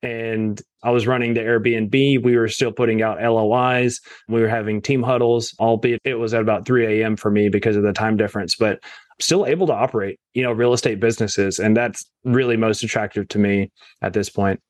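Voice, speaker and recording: A man with a lowish voice, recorded close and dry with no room sound. He talks in an easy, conversational way as a podcast guest, often tagging his points with "right?" and "you know". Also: fairly even intonation, brisk, dry recording. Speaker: real estate investor guest. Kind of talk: casual podcast interview.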